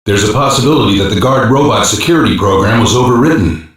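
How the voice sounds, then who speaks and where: A man's voice: on the low side, expressive, fast, lightly acted. A man playing a practical cyber-police hacker who gives instructive, matter-of-fact mission support in a sci-fi game. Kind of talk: English animated sci-fi game voice acting. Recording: very echoey, distant-sounding game audio.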